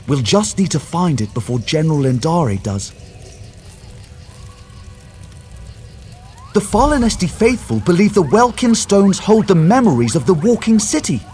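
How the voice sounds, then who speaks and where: Scholarly game mage: cautious, formal, precise diction, raised pitch, faint music behind. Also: male voice, big pitch swings, normal speed, hardly any pauses, warm tone. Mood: eager, confident. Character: scholarly male mage and former priest, cautious, anxious. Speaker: quest-giving character. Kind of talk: video game dialogue.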